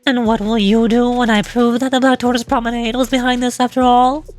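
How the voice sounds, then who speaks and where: A woman's voice: a little high, flat delivery, brisk, darkish tone, soft background music, natural voice. Stern female enforcer for a game story, acted by a woman streamer, severe, indignant, commanding. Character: stern, indignant, strict female officer of a gang.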